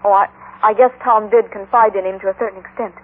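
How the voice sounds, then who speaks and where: A woman's voice: medium pitch, fairly even intonation, fast, lightly acted. An actress playing an earnest, worried young woman in a vintage radio drama, with an American accent. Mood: anxious. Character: anxious, earnest young sister of a missing man. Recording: thin, telephone-like old radio recording.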